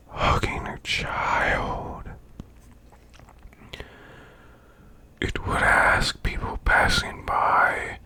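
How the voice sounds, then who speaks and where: A man voicing a male demon boss in an ASMR bedtime reading, with a breathy, rasping, growled whisper and slow, deliberate storytelling. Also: very high voice, full character voice. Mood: eerie, hushed. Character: male demon boss, growling while lulling listener to sleep.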